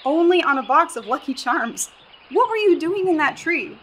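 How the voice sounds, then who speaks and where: A woman's voice: sits high, big pitch swings, moderate pace, fairly bright tone, a little hiss or hum, low-quality recording. A young woman with an American accent in a radio play, acting a sarcastic, exasperated hiker. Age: young adult. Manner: sarcastic, incredulous.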